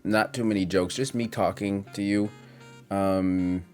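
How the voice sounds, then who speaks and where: A man's voice: on the low side, fairly even intonation, a little slow, darkish tone, faint music behind. A man in his twenties talking in a casual, confessional vlog monologue, reflective and hesitant as he rambles through his thoughts. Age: 20s. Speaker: content creator.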